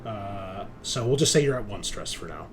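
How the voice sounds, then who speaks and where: A man's voice: on the low side, lively intonation, moderate pace. An American man in casual, sometimes sweary chat as he hosts a tabletop game stream. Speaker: host and game master.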